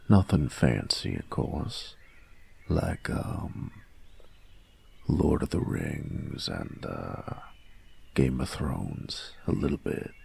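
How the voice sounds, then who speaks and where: A man playing a cocky young bully who is secretly soft on his boyfriend in an intimate audio roleplay, gravelly and husky, grudging and teasing in a cool-guy way. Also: very deep voice, full character voice.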